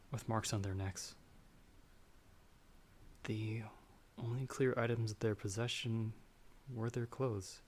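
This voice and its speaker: A man playing a timid young traveler in an ASMR audio roleplay, speaking softly and hesitantly. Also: fairly low pitch. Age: young adult. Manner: soft, hesitant, stammering when afraid. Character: timid young male traveler, storyteller trapped by a vampire. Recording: background storm noise.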